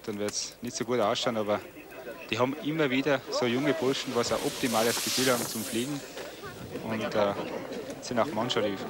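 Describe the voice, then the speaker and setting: A man's voice: mid-range voice, brisk. A man with an Austrian accent giving colloquial expert analysis of ski jumping. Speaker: expert co-commentator. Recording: outdoor sports broadcast with crowd behind.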